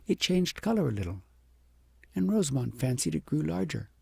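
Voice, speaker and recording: Very gravelly, mellow storybook narrator. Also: mid-range voice, very animated, moderate pace, no room sound, studio quality. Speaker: audiobook narrator.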